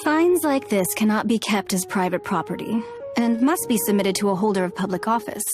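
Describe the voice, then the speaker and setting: A woman's voice: medium pitch, brisk, over music, close to an everyday voice. An actress playing a poised, aristocratic female ruler in a video game, formal, composed and coolly teasing.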